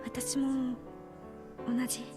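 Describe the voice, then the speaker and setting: Proud shy sharp-tongued young woman, visual novel, high voice, halting and tender, music under it. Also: flat delivery. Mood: bashful, tender. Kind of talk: Japanese visual novel voice acting. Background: game music.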